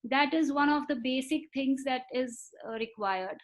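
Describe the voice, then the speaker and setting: A woman giving a webinar lecture over a video call. She talks in a measured way, often repeating words for emphasis and pausing on fillers. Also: high-pitched. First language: not Marathi. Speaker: webinar speaker on foreign languages. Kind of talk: explanatory lecture.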